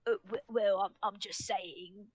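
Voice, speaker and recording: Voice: mid-range voice, fairly even intonation, measured pace, slightly rough, voice pushed up. A performer voicing a timid, flustered young character who stammers earnestly in a fan fiction reading. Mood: nervous. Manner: stammering, hesitant.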